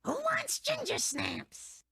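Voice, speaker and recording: An actress playing a soothing, anxious cartoon mother with a very raspy, gravelly voice and slow manner. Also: extremely high pitch, sing-song, sharp tone, no room sound, clean studio sound, full character voice. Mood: uneasy reassurance.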